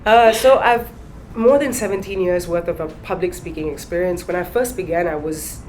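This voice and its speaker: Low-voiced woman telling her story. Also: normal speed, a crowd in the background, rough recording. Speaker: guest trainer. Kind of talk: casual panel chat.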